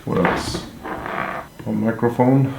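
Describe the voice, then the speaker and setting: A man's voice: medium pitch, steady tone, slow pace, gravelly. A man giving a casual hands-on product walkthrough, talking informally and offhand as he thinks aloud while handling the items. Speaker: product reviewer. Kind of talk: casual unboxing and hands-on demonstration. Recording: echoey room with handling clicks and noise.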